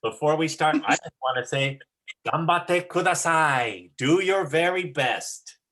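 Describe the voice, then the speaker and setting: A man's voice: a little high, expressive, unhurried, a bit bright. A man giving casual, encouraging instruction as a teacher directing a play rehearsal.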